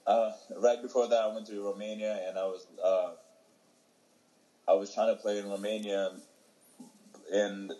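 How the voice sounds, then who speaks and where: Low, flat-voiced young man. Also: low-quality recording. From Ethiopia. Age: young adult. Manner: halting, many fillers and restarts. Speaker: interviewee, young soccer player. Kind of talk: casual interview answers.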